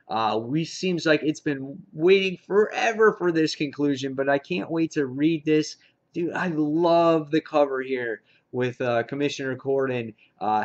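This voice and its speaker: A man chatting casually and enthusiastically as the host of a comic book review vlog, in a lo-fi recording. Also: medium pitch. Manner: enthusiastic fan talk. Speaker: comic book reviewer hosting a haul video. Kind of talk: casual vlog chat, showing and commenting on purchases. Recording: dull lo-fi sound.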